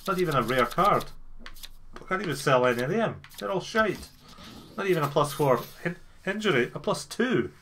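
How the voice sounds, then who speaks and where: A man's voice: on the high side, expressive, moderate pace, a bit bright, slight background noise. A man giving casual, sweary gameplay commentary as he makes a video, grumbling and sarcastic. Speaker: gamer making a video.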